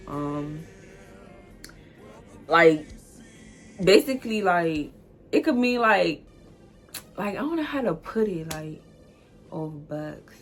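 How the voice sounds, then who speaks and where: Chatty young D.C. woman, low-pitched voice. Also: vocal fry. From Washington, D.C. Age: 20s. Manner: loose and chatty, heavy "like" fillers, easy laughter. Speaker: vlogger. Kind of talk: casual solo vlog explainer.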